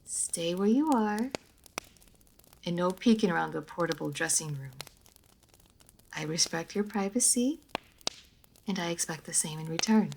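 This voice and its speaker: A woman's voice: fairly low pitch, expressive, unhurried, vocal fry, long pauses, noisy background. A woman playing a centuries-old female vampire in a roleplay audio drama, prim, proud and guarded yet playful, with formal, old-fashioned phrasing. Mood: composed, instructing.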